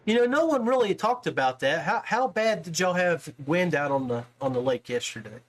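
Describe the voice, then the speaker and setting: Folksy man with a higher-pitched voice. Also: lively intonation, normal speed. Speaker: podcast host. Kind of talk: casual interview chat about fishing.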